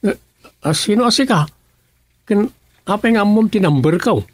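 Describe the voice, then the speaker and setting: A man's voice: high voice, lively intonation, normal speed, husky, no room sound. A man acting a wary, brusque village man who questions sharply in a rural comedy radio drama. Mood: wary, irritated.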